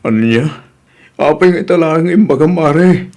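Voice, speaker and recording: A man's voice: on the high side, unhurried, warm tone. A man with an Ilocano accent acting in an audio drama as a hurt, weary but resolute husband and father. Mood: shocked, hurt. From Philippines.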